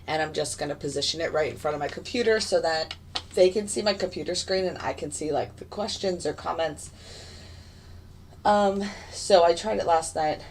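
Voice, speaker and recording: A woman with a raspy voice and hiccups, chatting casually and rambling as she streams live trading commentary from her desk. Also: low voice, normal speed, slight background noise. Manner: chatty, rambling, many fillers and restarts. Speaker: futures day trader streaming live. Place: home desk in front of a computer.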